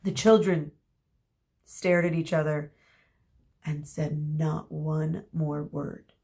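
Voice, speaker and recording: A woman narrating a children's story aloud like a teacher, expressive, dramatic and deliberate. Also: low voice, gravelly. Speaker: teacher reading aloud to students.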